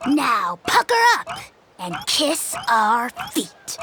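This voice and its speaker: A woman's voice: high-pitched, wildly swooping pitch, drawn-out words, creaky, noisy background, exaggerated voice. A woman playing a tiny, arrogant self-proclaimed dragon lord in a video game, pompous and full of bombastic threats. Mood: haughty, mocking.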